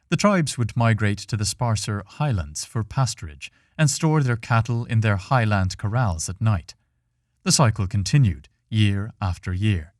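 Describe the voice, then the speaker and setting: Man, lowish voice, scripted storytelling narration, measured, solemn, dramatic phrasing, dry close sound. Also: darkish tone, no room sound. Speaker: narrator reading a fiction podcast.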